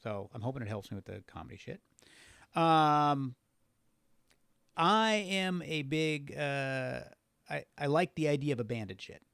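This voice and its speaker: A man's voice: a little high, animated, unhurried, gravelly, pauses often, fairly bright tone, clean tone, no room sound. Man rambling casually, dry close sound. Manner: loose, unfiltered, profane. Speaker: podcast guest. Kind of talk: casual, rambling conversational storytelling.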